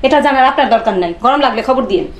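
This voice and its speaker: A woman's voice: fairly high pitch, lively intonation, quick pace. An actress playing a curt, dismissive woman in a television drama, recorded in an echoey, noisy room.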